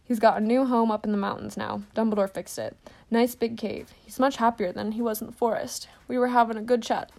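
Storybook role: woman voicing hearty, loud giant of a man, folksy, gravelly. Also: fairly high pitch, fairly even intonation, fairly quick, hardly any pauses. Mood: cheerful. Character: big hearty male groundskeeper, fond, simple.

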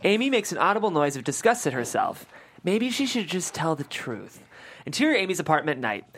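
A woman with a low voice reads out script directions. Her intonation is very lively, rising and dropping as she moves through the scene narration. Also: a little fast, breathy edge. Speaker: stage-direction reader at a script table read.

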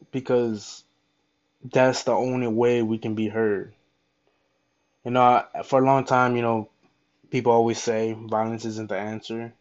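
A man hosting a solo podcast, speaking off the cuff in an impassioned, halting way and repeating his phrases. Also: mid-range voice, darkish tone, dull audio. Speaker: podcast host. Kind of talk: unscripted solo podcast monologue.

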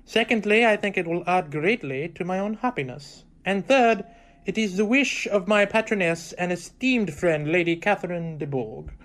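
A man's voice: high voice, moderate pace, a bit bright. A pompous clergyman suitor, played by a man in a radio play. He speaks in formal little speeches, stiff and thoroughly pleased with himself. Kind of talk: theatrical radio drama acting.

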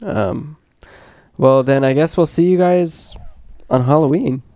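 A man's voice: fairly high pitch, measured pace, dark tone, dry recording, studio quality. A young man co-hosting a podcast, slangy and rambling, whose voice sounds congested. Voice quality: congested, nasal. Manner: slangy and rambling, heavy on filler words. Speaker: podcast co-host. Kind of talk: casual podcast banter.

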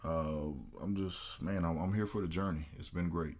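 A man co-hosting a podcast, telling a story in a relaxed, animated way and acting out the voices of people in it. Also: deep voice, moderate pace. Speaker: podcast co-host. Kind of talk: casual storytelling chat.

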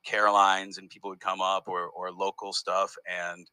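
Brief: low, bright-toned male comedian, meandering and chatty, dry close sound. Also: flat delivery, normal speed, smooth, no room sound. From United States. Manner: rambling, self-interrupting storyteller. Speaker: comedian guest. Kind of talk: casual interview conversation.

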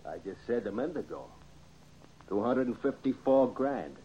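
A man's voice: mid-range voice, expressive, normal speed, lightly acted. An actor playing a surly, threatening gangster in an old-time radio crime drama, talking in tough-guy slang. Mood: defensive. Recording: dull, hissy old radio recording.

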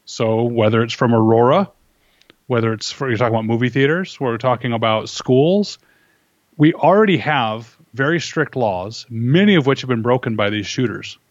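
A man's voice: mid-range voice, no room sound. Dull-sounding man reasoning through his views. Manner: measured, argumentative, poses rhetorical questions. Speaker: interview guest, firearms instructor and writer. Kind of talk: conversational interview, explaining opinions.

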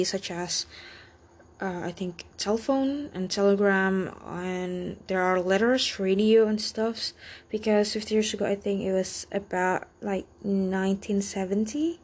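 A young woman with an Indonesian accent, reading practice speaking-exam questions aloud and answering them in English, halting often with fillers and restarts. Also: mid-range voice, breathy edge. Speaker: student taking a speaking exam.

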